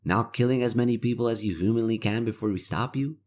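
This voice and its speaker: A man playing a cynical, world-weary imperial knight interrogator with a conscience, in a roleplay audio drama, gravelly, sardonic and given to swearing. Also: mid-range voice, fast talker, darkish tone, close to an everyday voice. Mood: guarded, conflicted.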